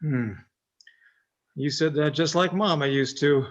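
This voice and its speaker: A man playing a naive, devoted son in an old time radio horror play, speaking in a halting, stammering, earnest way. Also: a little high, natural voice. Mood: hopeful, wistful. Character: naive, simple, devoted son pining for missing mother.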